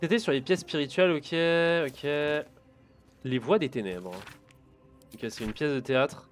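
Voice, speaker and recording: A man hosting a let's play, giving relaxed, chatty commentary on his gameplay and talking to himself as he goes. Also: mid-range voice, normal speed, faint music behind.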